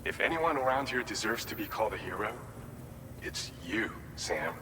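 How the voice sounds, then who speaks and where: Game cutscene role: earnest, plain-spoken former fighter, measured delivery, lowish voice, long reverb. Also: male voice, expressive, pushed and bright. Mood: humble, reflective. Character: earnest, humble male former boxing champ at a facility. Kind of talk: video game cutscene dialogue and briefing. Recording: reverberant hall, background vehicle noise.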